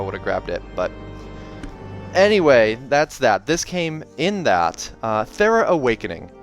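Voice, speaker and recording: A man hosting in a casual, chatty, rambling way that often corrects itself, as he talks through and reads aloud a book. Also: medium pitch. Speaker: host of a reading series. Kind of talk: casual video commentary and read-aloud. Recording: music behind the voice. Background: soundtrack music.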